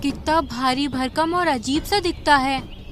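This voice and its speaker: Woman as a high-voiced little cartoon bird. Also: measured pace. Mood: alarmed. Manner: questioning, concerned. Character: small, curious, sensible female bird.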